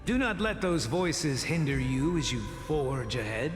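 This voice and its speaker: An actor playing an old sage and mentor in a game, wry and measured, speaking formally and deliberately. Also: male voice, fairly high pitch, very animated. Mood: solemn, fatherly. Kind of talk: dramatic scripted game dialogue. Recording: echoey long hall reverb, music behind.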